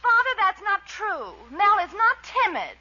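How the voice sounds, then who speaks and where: A woman's voice: very high-pitched, wildly swooping pitch, normal speed, heavily acted. An actress playing a sweet, spirited young girlfriend, coy and earnest, in a 1940s radio comedy style. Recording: muffled, faintly hissy old radio recording.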